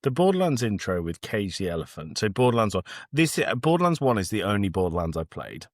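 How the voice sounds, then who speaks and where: A man's voice: lowish voice, big pitch swings, fast talker, dry recording, studio quality. A man co-hosting a podcast in casual film review banter, blunt, sweary and facetious. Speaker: podcast co-host.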